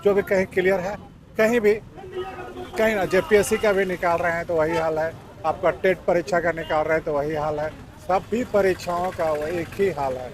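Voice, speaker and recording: Emphatic eastern man, slightly high voice. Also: moderate pace, poor audio. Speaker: member of the public being interviewed. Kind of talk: spontaneous opinion in a street interview. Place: outdoors in an urban area. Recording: outdoor street with faint traffic noise.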